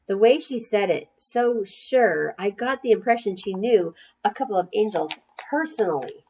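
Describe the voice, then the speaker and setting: A woman's voice: medium pitch, lively intonation, normal speed, warm tone, a little hiss or hum, rough recording. A woman narrating a children's storybook expressively, as a storyteller reading aloud to young listeners.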